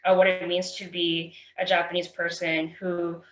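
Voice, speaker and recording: A woman's voice: on the low side, monotone, moderate pace, a bit bright. A woman on a panel telling her own story, hesitant and full of fillers as she searches for words. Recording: roomy and dull, lo-fi.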